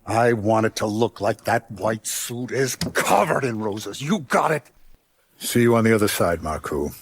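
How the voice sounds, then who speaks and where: A hardened mob boss from a video game, commanding, calculating, streetwise, dry close sound. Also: male voice, on the low side, animated, breathy edge, dry recording, exaggerated voice. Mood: cold, quietly menacing. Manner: deliberate, authoritative, streetwise gangster talk. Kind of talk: video game character acting.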